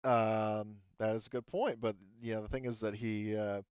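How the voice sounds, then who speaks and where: A man narrating a tabletop roleplaying game as game master, improvising in a halting way with many pauses. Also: on the low side, fairly even intonation, darkish tone, smooth, dry recording, dull audio.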